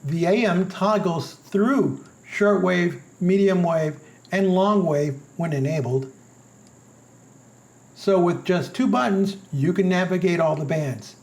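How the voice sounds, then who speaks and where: A man's voice: high voice, slow pace, gravelly, darkish tone. A man reading a scripted product review as its narrator.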